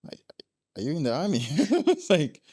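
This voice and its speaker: A man's voice: on the high side, huge pitch swings, moderate pace, dark tone, no room sound, clean studio sound. A young man from Ghana, a guest telling his travel stories in a casual interview, laughing often.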